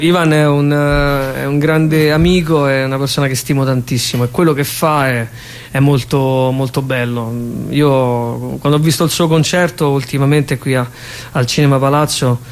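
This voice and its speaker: A man talking casually as an interview guest, an animated storyteller who often checks in with short tag questions. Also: medium pitch, moderate pace. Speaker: interview guest, theatre actor and writer.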